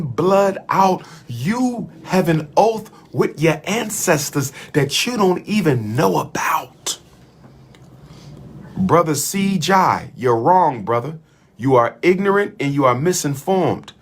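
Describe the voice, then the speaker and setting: A husky-voiced man delivering a fiery speech. His pitch swings widely as he drives each point home. He leans on repetition in a rolling, chant-like cadence. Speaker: speaker addressing an audience. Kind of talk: impassioned oratory.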